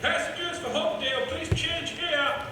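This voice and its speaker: A man voicing a railway station announcer in a stage play, calling out to passengers in a drawn-out way. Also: extremely high pitch, fairly even intonation, pitched above the performer's own voice. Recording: very echoey, distant mic, noisy.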